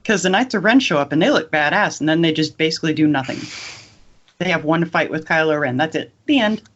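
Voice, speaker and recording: A man's voice: on the high side, expressive, fast, a bit bright, a little hiss or hum. A man co-hosting a casual podcast, giving his opinions bluntly. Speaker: podcast co-host. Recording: dull, lo-fi audio.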